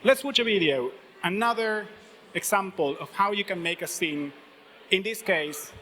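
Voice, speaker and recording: A man's voice: sits high, animated, moderate pace, sharp tone. A man giving a marketing talk at a conference, explaining his slides. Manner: explanatory, walks through slides. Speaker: conference speaker. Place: conference stage. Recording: echoey conference hall.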